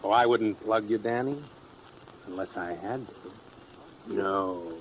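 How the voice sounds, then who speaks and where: Menacing hard-boiled radio crook. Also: male voice, lowish voice, takes it slowly, poor audio. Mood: taunting, threatening. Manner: taunting, hard-boiled, slangy. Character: menacing, sardonic male blackmailer, cool tough crook. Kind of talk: 1950s radio crime acting. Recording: old radio recording, faint noise.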